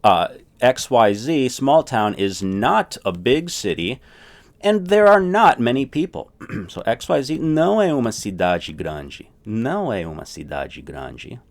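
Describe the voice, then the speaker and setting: A man's voice: mid-range voice, very animated, a little slow. A man teaching a recorded language lesson, explaining things step by step and often checking in with "right?".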